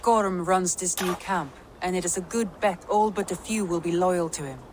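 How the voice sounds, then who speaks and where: An actress playing a composed, pragmatic Norse adviser in a video game, giving matter-of-fact instructions. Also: female voice, on the low side, moderate pace, a little hiss or hum, close to an everyday voice. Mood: cautioning.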